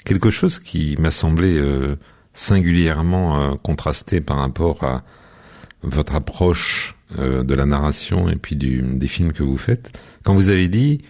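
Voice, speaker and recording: A man's voice: a bass voice, unhurried, a little mellow. A man hosting a radio interview, asking long, formal, elaborately phrased questions. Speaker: radio host.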